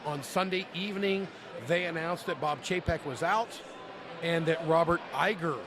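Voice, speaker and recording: A man's voice: on the high side, noisy background. A man co-hosting a casual business podcast, talking emphatically and laughing often. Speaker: podcast co-host. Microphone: cheap soundboard.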